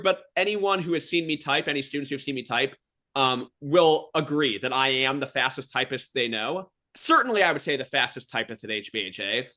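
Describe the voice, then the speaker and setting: A man's voice: mid-range voice, pushed and bright. A man hosting a casual conversational interview, speaking in an enthusiastic, affirming manner. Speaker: podcast host interviewing a former colleague; school teacher.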